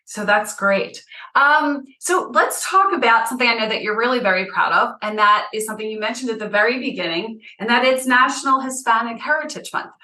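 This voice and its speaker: A woman's voice: on the high side, brisk, pushed and bright, echoey room, poor audio. A woman hosting an interview, speaking in an encouraging and appreciative way. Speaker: podcast host, interviewer. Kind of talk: interview conversation.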